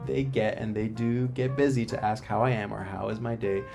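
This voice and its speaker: A man's voice: on the low side, flat delivery, brisk, vocal fry, music in the background. A male comedian guest, loose and joking as he plugs his shows in casual podcast chat.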